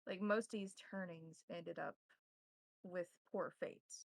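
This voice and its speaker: A woman speaking as game master in measured, formal phrases, improvising roleplay and narration. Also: on the low side, fairly even intonation, creaky, dry recording.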